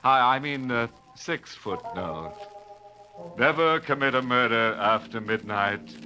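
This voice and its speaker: A ghoulish horror host relishes the macabre with sardonic glee. The delivery is slow and drawn-out in a medium-pitched voice, with music behind it on an old radio broadcast. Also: male voice, lively intonation. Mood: gleefully morbid. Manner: sardonic, drawn-out, savoring morbid puns. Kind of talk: 1940s-50s radio horror hosting.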